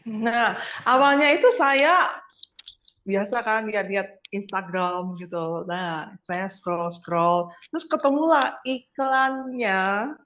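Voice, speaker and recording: A woman's voice: mid-range voice, slow, a bit bright. An Indonesian woman from Surabaya chatting casually as an interview guest. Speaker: interview guest, piano and English teacher. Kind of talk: casual chat. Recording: muffled lo-fi audio, light noise, clicks.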